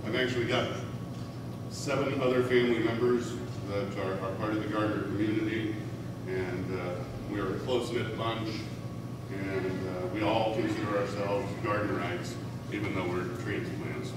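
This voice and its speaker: A man's voice: on the low side, fairly even intonation, normal speed. A middle-aged man with a big voice, giving a formal, earnest campaign speech, with frequent "uh" fillers. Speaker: city council candidate. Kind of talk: campaign speech at a candidate forum. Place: school hall. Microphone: distant, speaking without a microphone. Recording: echoey hall from far off with room noise.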